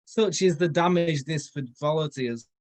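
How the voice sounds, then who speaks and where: Guy with a slightly high voice reading a silly story all dramatic, fixes his slips as he goes. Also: moderate pace, darkish tone. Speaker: comedy performer reading fan fiction. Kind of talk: dramatic comedic story read-aloud.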